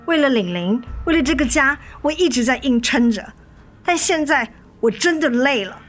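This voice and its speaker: A woman's voice: high-pitched, expressive, normal speed. A woman acting a weary, resolute wife and mother in a radio drama, firm and reproachful with her husband. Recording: studio radio drama with music underneath.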